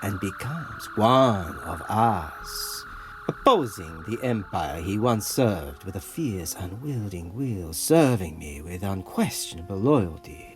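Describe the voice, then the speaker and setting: An actor playing a grandiose, idealistic rebel leader who narrates an audio comic drama in a theatrical, deliberate way. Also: male voice, low-pitched, expressive, soft background music.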